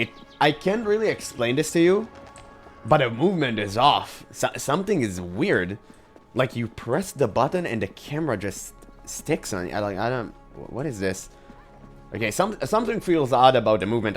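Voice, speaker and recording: A man's voice: lowish voice, slight background noise. A man hosting a casual gaming commentary, reacting aloud in informal language, his voice recovering from a cold. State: recovering from a cold, voice recently lost. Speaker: video game content creator, host.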